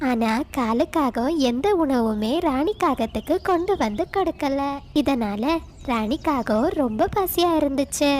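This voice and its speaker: High-voiced woman narrating a children's story. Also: animated, a little slow, a little mellow, a little hiss or hum.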